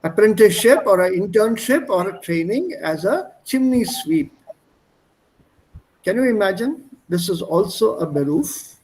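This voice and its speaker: A male teacher on a video call with a high voice, talking slowly. He explains German words patiently and switches into English to make them clear. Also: lively intonation, a little mellow, a little hiss or hum, low-quality recording. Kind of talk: online language class.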